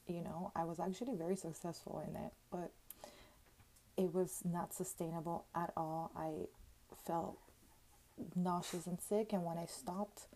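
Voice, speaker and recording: Host, woman, 30s, mellow lowish voice, chatty gossip delivery with fillers and cussing. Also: rough recording. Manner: chatty, full of "like" fillers and casual swearing. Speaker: podcast host. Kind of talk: casual gossip podcast monologue.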